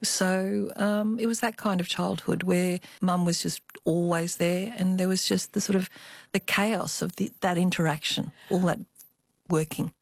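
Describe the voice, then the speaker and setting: An older woman with an Australian accent, telling personal memories as an interview guest in a thoughtful, expressive way. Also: on the low side, a little slow, gravelly, no room sound. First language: Cantonese. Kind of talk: reflective personal storytelling.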